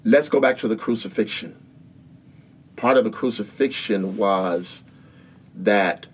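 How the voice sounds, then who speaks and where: A man in his sixties speaking as a guest in an interview about faith, earnest and preacherly. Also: lowish voice. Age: 60s. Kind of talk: spiritual interview talk.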